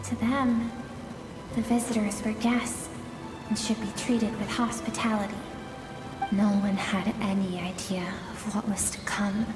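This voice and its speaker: An actress playing a grave, sorrowful woman in a video game, recounting a tragic past in measured, somber tones. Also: mid-range voice, level tone, close to an everyday voice. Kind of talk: solemn dramatic storytelling. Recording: echoey long reverb with game music behind.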